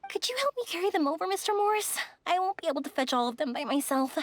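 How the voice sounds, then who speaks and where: A very high, sweet, coaxing girl. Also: expressive, fast. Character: sweet, coaxing, bubbly young woman with fireworks. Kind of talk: video game cutscene voice acting.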